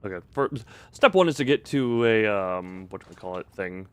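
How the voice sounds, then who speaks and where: A man's voice: fairly low pitch, animated, moderate pace, smooth. A man giving casual live gameplay commentary as a streamer, musing and hesitating as he thinks aloud. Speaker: game streamer.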